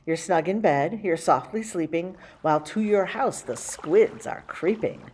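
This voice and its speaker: A woman hosting a library story time, reading a rhyming picture book to children in a theatrical, rhythmic way with dramatic slowing. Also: on the low side, a little mellow, breathy edge. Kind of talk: expressive picture book read-aloud for children.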